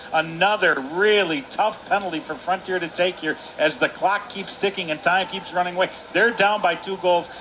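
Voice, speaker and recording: A man's voice: high voice, pushed and bright, low-quality recording. A man giving live colour commentary on a hockey game, explaining the play in a conversational way. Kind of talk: live sports analysis. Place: indoor ice hockey arena. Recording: faint arena noise behind the voice.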